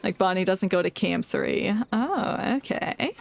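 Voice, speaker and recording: A woman's voice: mid-range voice, expressive, a little slow, vocal fry. A woman giving casual live game commentary, giggly and chatting away to herself and to the characters in the game. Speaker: game streamer. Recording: background music under voice.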